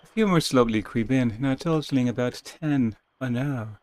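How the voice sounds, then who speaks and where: A man's voice: medium pitch, normal speed, darkish tone. A man reading a text game aloud as theatrical narration, stumbling and rereading now and then, with casual asides. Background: music.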